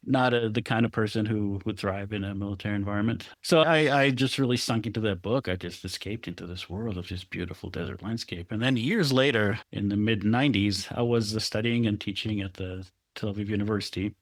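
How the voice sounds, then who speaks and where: A man's voice: on the low side, brisk, no echo. A middle-aged man from Israel, a photographer, telling stories thoughtfully in an interview and laughing often. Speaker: guest photographer. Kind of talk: reflective conversational interview.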